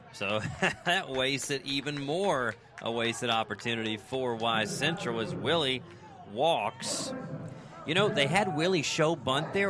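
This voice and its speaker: An American man doing live radio play-by-play of a baseball game, in clipped descriptive phrases that turn conversational between pitches. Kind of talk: live sports commentary.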